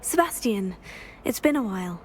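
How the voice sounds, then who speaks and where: A woman's voice: medium pitch, animated, moderate pace, a little hiss or hum, natural voice, poor audio. A young woman playing a polite, curious student in a game cutscene, earnest in tone.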